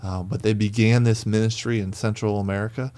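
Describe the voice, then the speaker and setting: A man's voice: on the low side, little pitch movement, brisk, mellow, no room sound. A man hosting a church podcast in a friendly, chatty way. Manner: friendly, chatty, with fillers and restarts. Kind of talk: casual interview chat.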